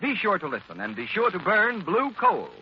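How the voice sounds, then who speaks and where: A man's voice: sits high, very animated, normal speed, a little hiss or hum. Casting: man as emphatic old-time radio announcer, swooping delivery, dated dull broadcast sound. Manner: dramatic, emphatic. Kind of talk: 1930s-40s scripted radio announcing.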